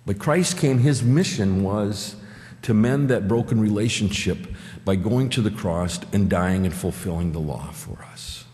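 A man's voice: fairly low pitch, a little slow, darkish tone. A man in his fifties with an Upper Midwest American accent, preaching a conversational sermon from a pulpit mic and asking the congregation questions. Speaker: pastor. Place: church sanctuary, at a pulpit. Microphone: pulpit microphone. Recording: church hall reverb.

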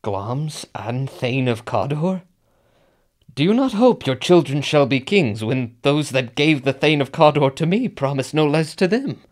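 An actor playing an ambitious, brooding Scottish general in a Shakespearean radio drama, speaking declamatory verse. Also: male voice, fairly high pitch, sing-song, a little slow, darkish tone. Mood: astonished, questioning.

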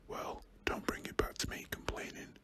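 A man's voice: normal speed, sharp tone, natural voice. Man voicing a grumpy whispering shopkeeper. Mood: grumpy, reluctant. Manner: reluctant, insistent. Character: grumpy, exasperated male shopkeeper with a moustache.

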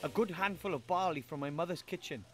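A man's voice: on the high side, fairly quick, a bit bright, faint music behind, lightly acted. An actor playing an eager, polite young male gnome hero in a game, chatty and curious.

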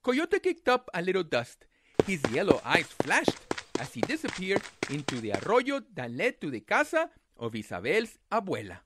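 A man reading a children's picture book aloud as a storyteller, with dramatic, deliberate phrasing. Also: high-pitched, huge pitch swings, heavy background noise.

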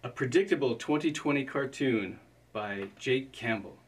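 A man's voice: mid-range voice, normal speed, background noise. Guy in his 50s announcing award nominees, mid voice, bit far from the mic. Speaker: awards presenter. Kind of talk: scripted award presentation. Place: indoor studio with curtain backdrop.

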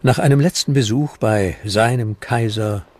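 A man's voice: medium pitch, a little slow, a little mellow, slight background noise. A man reading scripted narration for a historical documentary.

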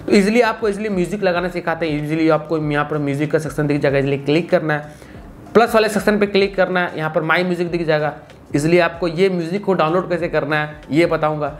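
A man's voice: a little high, fast talker, slight background noise. A man giving a casual, instructional phone-app tutorial, often repeating stock phrases. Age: 20s. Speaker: tutorial presenter. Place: small indoor room.

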